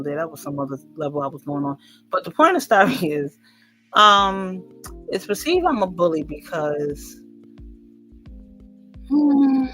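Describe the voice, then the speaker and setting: Loud deep-voiced woman on a call. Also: poor audio. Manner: loud, boisterous. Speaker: interview guest. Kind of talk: casual online interview. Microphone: online call.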